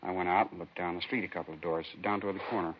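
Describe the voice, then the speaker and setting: Actor as low-voiced, quick-talking weary bar owner. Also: male voice, steady tone, close to an everyday voice. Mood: uneasy, explaining. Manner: colloquial, plain-spoken, rambling. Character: weary, uneasy male bar owner and witness. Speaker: actor in a radio police drama. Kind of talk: 1950s radio acting. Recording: dull, hissy 1950s radio recording.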